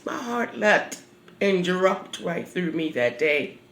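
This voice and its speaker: An actress playing a grieving, haunted mother in a halting, weighty dramatic stage monologue. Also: lowish voice, expressive, vocal fry, fairly bright tone, reverberant, heavily acted. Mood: haunted, mournful. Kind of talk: stage monologue performed on video.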